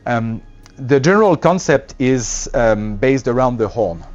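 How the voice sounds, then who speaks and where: A man with a French accent, a company representative explaining his products in a trade show interview, with many fillers and pauses. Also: medium pitch, expressive, a little mellow, dull audio, faint music behind.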